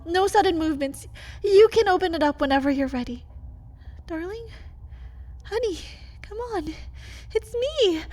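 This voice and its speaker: A woman's voice: expressive, moderate pace, long pauses, darkish tone. A woman playing a feral, hungry vampire wife in an ASMR roleplay, mocking and manipulative in manner. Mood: tearful pleading. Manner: taunting, sardonic, swings from low menace to pleading.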